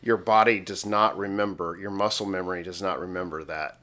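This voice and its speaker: A man's voice: deep voice, fairly even intonation, brisk, bright, forceful tone. A man of about fifty chatting casually as a co-host, with a self-deprecating way of talking. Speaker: podcast co-host. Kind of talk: casual chat.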